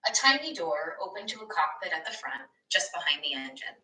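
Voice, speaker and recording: A woman reading a children's book aloud like a teacher, expressive and animated, with asides to young listeners. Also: lowish voice, fairly quick. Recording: echoey room, distant microphone.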